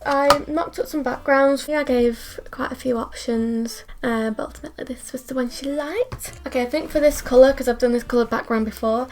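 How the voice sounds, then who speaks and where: A young woman from England with a northern English accent, chatting casually about her art, a little croaky from just waking up and wearing retainers. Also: high voice, fairly even intonation, a little fast, few pauses. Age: young adult. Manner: chatty, self-deprecating, rambling asides. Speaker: art vlogger. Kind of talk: casual chatty voiceover and to-camera talk.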